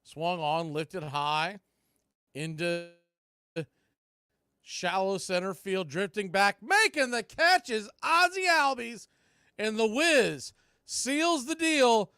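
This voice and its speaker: High-pitched man calling baseball, close dry sound. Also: animated, takes it slowly, pushed and bright, clean tone, dry recording. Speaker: baseball play-by-play announcer and call-in show host. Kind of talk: radio baseball play-by-play and fan talk commentary.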